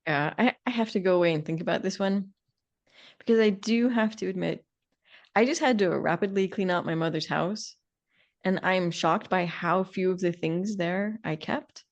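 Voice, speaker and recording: A woman's voice: lowish voice, normal speed, muffled recording. A middle-aged woman co-hosting a conversational podcast, talking in an opinionated, emphatic way. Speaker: podcast co-host.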